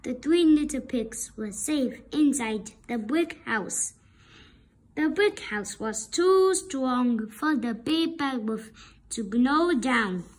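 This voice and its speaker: Lady reading a kids book out loud, high voice, really slow and expressive. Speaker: storybook reader. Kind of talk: children's read-aloud narration.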